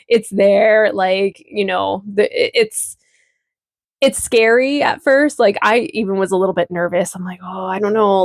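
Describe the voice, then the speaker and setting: A woman's voice: medium pitch, dry recording, studio quality. A woman vlogger giving a casual personal update, chatty, informal and candid.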